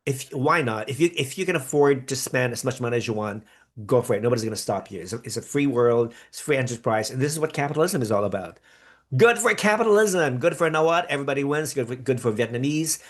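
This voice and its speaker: A man's voice: mid-range voice, expressive, quick pace. A man born in the Philippines who grew up in America, hosting a live reaction stream in a rambling, chatty way with upbeat bursts. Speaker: podcast host reacting live to a pageant. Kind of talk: live-stream reaction chat reading viewer comments.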